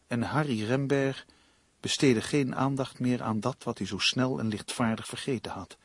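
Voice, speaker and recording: Troubled male crime writer in radio drama; husky, serious, a touch defensive, medium-pitched. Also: normal speed. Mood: ominous. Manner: hesitant, earnest, defensive. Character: uneasy, earnest male crime novelist, easily riled.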